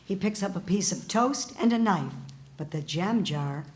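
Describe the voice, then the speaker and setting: A woman's voice: on the low side, lively intonation, moderate pace, a little mellow. A woman reading script stage directions aloud, matter-of-fact, at a live table read.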